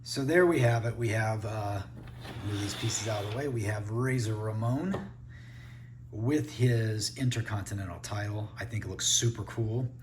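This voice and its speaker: A man with a fairly low voice talks through a toy review in a relaxed, off-the-cuff way, thinking aloud as he goes. A faint hiss sits under the recording. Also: moderate pace. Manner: relaxed, thinking aloud while handling toys. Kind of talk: casual unboxing review.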